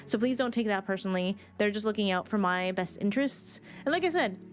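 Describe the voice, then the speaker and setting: A woman's voice: medium pitch, brisk. A woman game livestreamer chatting informally and casually with her viewers.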